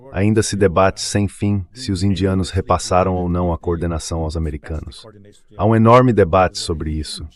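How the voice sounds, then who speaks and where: Brazilian male analyst for a dubbed interview, deep register. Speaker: political analyst guest, dubbed voice.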